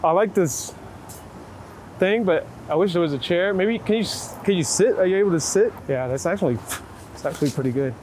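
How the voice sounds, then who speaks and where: A man with a somewhat high voice speaks as a photographer directing a subject. His delivery is informal and encouraging, built on short repeated instructions. Faint street ambience and vehicles sit behind him. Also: a little fast, a little mellow. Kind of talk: casual chat while directing portrait subjects. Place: outdoors in a city. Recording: city street ambience and traffic noise.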